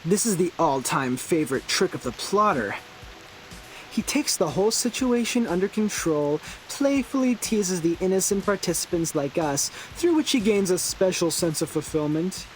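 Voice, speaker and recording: A man's voice: high-pitched, lively intonation, moderate pace, soft background music, poor audio. An actor playing an eccentric, theatrical young man who loves horror, in a video game. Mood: scheming. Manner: theatrical, teasing, grandiose. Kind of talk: English video game character acting.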